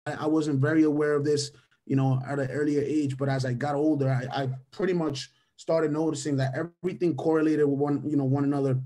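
A man's voice: a little high, fairly even intonation, a little fast, warm tone. A man in his thirties, a native Spanish speaker, mentors his audience over a video call in a slightly raised voice. He repeats his main points and punctuates nearly every thought with a questioning "right?". The sound is webcam-call audio from a small room. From Venezuela. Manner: repeats key phrases for emphasis. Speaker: mentor presenting a webinar. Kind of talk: motivational webinar talk. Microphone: webcam or headset on a video call.

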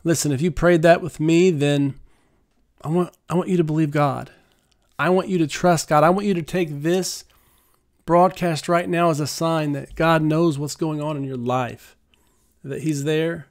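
A middle-aged man hosting a prayer livestream from his living room, speaking softly and earnestly into a studio mic, halting with many fillers. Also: a little high, steady tone. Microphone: large studio mic on arm.

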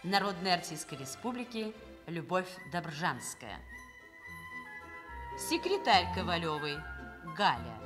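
A woman's voice: on the low side, big pitch swings, unhurried, long pauses. A woman announcer reading a formal scripted introduction to a radio play. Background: violin music.

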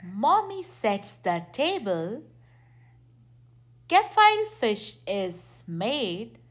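A woman narrating a children's picture book aloud in a deliberate, expressive way. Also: fairly high pitch, husky. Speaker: children's storybook reader.

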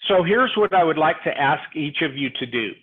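A middle-aged man presenting a live software tutorial, explaining things step by step over a headset on a video call. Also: fairly high pitch, lively intonation, moderate pace, husky. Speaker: webinar presenter demonstrating software. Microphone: earpiece headset on a video call. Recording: tinny, phone-like webinar audio.

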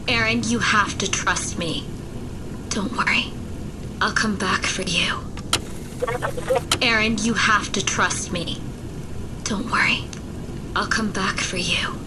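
An actress playing an earnest, determined young fighter in an audio drama, urgent and persuasive. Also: female voice, mid-range voice, fairly even intonation, slow, long pauses, natural voice. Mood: pleading, resolute. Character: earnest, determined young female fighter pleading with her comrade. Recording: clear noise behind voice.

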